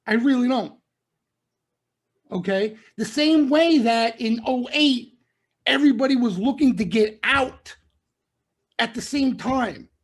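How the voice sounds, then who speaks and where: A man's voice: very high voice, slow pace, pauses often. Blunt man checking "okay?" after every point. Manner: emphatic, punctuates nearly every point with "okay?" and "right?"; blunt street-wise slang. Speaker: trading room host. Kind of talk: live market commentary broadcast.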